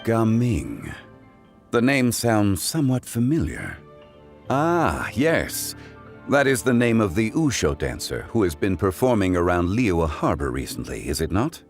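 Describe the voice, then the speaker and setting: A deep-voiced, wise gentleman of a fantasy game. He is calm and courteous, phrasing everything formally and eloquently, with expressive rises and falls over faint music. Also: a little slow. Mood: admiring, thoughtful. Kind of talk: video game voice acting. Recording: music behind the voice.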